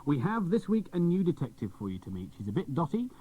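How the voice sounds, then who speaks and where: A man's voice: a little high, wildly swooping pitch, fast, a little hiss or hum. A man presenting scripted links on a children's radio programme. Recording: muffled, band-limited old cassette radio recording.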